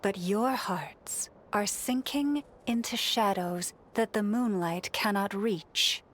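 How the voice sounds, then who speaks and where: An actress playing a noble young priestess who speaks in a formal, solemn and compassionate way in a video game. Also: female voice, medium pitch, slow, fairly bright tone, a little hiss or hum. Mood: solemn, reproving. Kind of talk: video game character dialogue.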